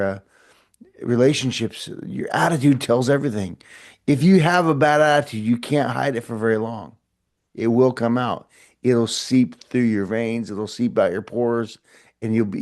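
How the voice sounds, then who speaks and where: A man's voice: mid-range voice, a little mellow. A middle-aged man hosting a short motivational podcast, talking earnestly and encouragingly with frequent repeats and restarts. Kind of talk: casual motivational talk to camera.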